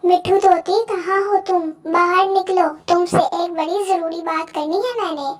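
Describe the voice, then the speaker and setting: A very high, small-sounding voice carries a bossy female crow , acted out by a woman storyteller. She scolds and threatens like a nagging neighbour in a children's moral tale, sharp-tongued throughout. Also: steady tone, normal speed, faint music behind. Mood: bossy, threatening.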